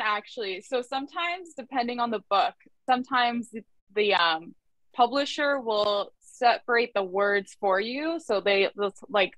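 A woman's voice: a little high, fairly bright tone, no echo, poor audio. A young woman from Canada, an illustrator, chatting casually about her work on a video call, laughing often and saying "like" a lot. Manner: giggly, chatty, uses "like" a lot. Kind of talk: casual conversation. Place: small room at home. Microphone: laptop webcam video call.

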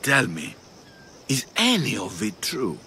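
Mayon, a coaxing older arena patron. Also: male voice, mid-range voice, huge pitch swings, pushed and bright, a little hiss or hum, exaggerated voice. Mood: persuasive. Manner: measured, coaxing, dramatic pauses. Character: proud older male arena patron, persuasive. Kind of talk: video game cutscene acting.

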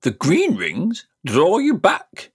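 A man's voice: huge pitch swings, slow, husky, heavily acted. A man voicing a pompous, cruel elderly male magician in a storybook reading, blustering and haughty. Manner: high, blustering, haughty and indignant. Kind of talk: audiobook character voice.

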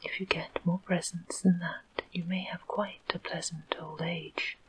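A woman voicing the bossy wind spirit of a storybook, all in a soft whisper. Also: lowish voice, level tone, unhurried, close to an everyday voice, poor audio.

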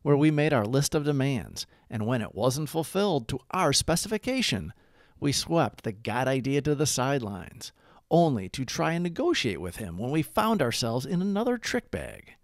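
A man narrating a scripted daily recovery reflection as a devotional read-aloud, with measured, emphatic phrasing. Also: mid-range voice, big pitch swings, no echo, clean studio sound.

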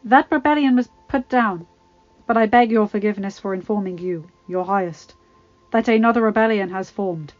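A scheming male courtier lays on the flattery with oily, ingratiating words, as a woman reads him. The lines come out raised and eager. The recording is a little dull. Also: fairly high pitch, brisk, faint music behind, voice pushed up, lightly acted. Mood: nervous.

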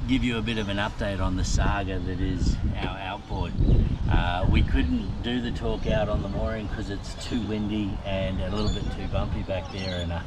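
A middle-aged man in a small boat outdoors, chatting practically about repairs to an action camera. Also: lowish voice. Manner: chatty, practical, self-mocking. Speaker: sailing vlogger. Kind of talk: casual how-to explanation. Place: small inflatable boat on a marina canal outdoors. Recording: loud wind and water noise outdoors. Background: wind and water noise.

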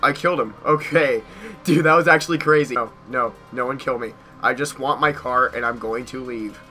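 A young American man giving casual gameplay commentary in an excitable manner, repeating short phrases. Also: mid-range voice, normal speed, soft background music. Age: young adult. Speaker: gaming YouTuber. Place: small bedroom at home.

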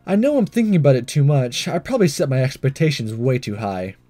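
Man reading as an earnest, fretful schoolboy, lively pitch. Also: fast talker, faint music behind, lightly acted. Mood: melancholy musing. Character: self-conscious, earnest teenage schoolboy narrating inner thoughts. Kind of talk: reading visual novel aloud.

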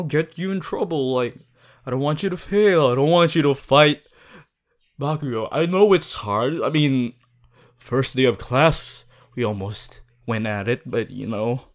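A man voicing an earnest, fussy but caring student class president in an ASMR roleplay, gently bossy and often stumbling over his words. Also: on the high side. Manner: hesitant, repeating himself, gently bossy. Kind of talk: ASMR audio roleplay addressed to the listener.

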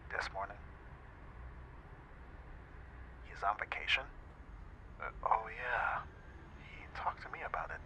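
A man acts out an easygoing young guy in an intimate audio roleplay. His voice sits fairly low, and he chats casually and teasingly, like a devoted best friend up late. Faint background noise runs under the voice. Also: bright, forceful tone, poor audio. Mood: easygoing, caring. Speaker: ASMR roleplay voice actor. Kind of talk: ASMR boyfriend roleplay, casual intimate chat.

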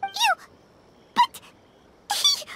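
A woman voicing a tiny, excitable and blunt fairy companion in a video game, with a squeaky childlike voice. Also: drawn-out words, exaggerated voice. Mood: alarmed, flustered. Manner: exclamatory, questioning. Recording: background music under the voice.

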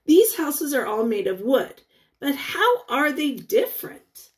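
A woman in her forties reading a picture book aloud as a teacher, pausing to put questions to young children in a deliberate, sing-song way. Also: high voice, very animated.

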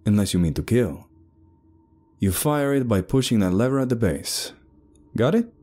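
A man's voice: medium pitch, expressive, moderate pace, warm tone, soft background music, voice pushed up, close to an everyday voice. A man voicing a smug, mysterious, boastful male traveler in a told story. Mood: warning.

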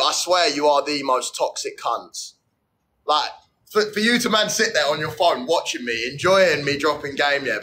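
A man's voice: mid-range voice, pushed and bright, slight background noise. A brash young man, hosting a livestream from his phone indoors and bantering crudely with viewers. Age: young adult. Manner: brash, swearing, fast slang banter. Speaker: livestream host. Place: indoor room. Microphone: phone at arm's length.

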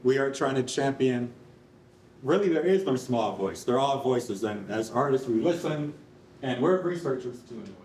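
A man's voice: mid-range voice, expressive, normal speed, darkish tone. A young adult man giving a loose live presentation in a casual, off-the-cuff manner. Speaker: presenter and artist. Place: large concrete rehearsal hall. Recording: echoey hall, distant, faint background noise.

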